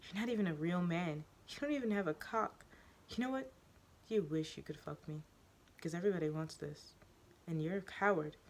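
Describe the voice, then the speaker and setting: A reader doing a shrill, breathy teenage girl. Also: fairly quick, natural voice. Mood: shrieking furious.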